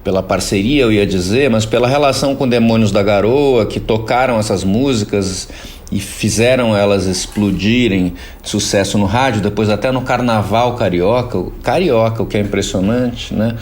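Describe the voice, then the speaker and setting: Low-voiced Brazilian man, reflective radio commentary. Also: moderate pace, darkish tone. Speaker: radio columnist, professor. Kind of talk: spoken cultural commentary on radio.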